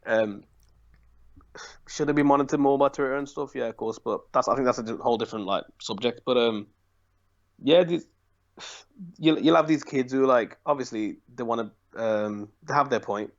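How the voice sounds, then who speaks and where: A hesitant young man of about twenty chats about football as a podcast guest. He fills pauses with ums and small laughs, and his voice comes through slightly dull and lo-fi. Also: medium pitch. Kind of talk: casual football chat.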